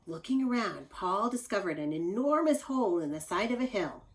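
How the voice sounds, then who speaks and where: A woman's voice: mid-range voice, animated, normal speed. Woman, teacher, children's read-aloud, simple explanations, emphatic storytelling, direct address to kids. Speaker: teacher reading a picture book aloud.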